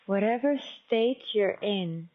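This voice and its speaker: A woman teacher leading a guided meditation in a gentle, unhurried, conversational and softly instructive way. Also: mid-range voice, expressive, narrow, tinny audio, a little hiss or hum.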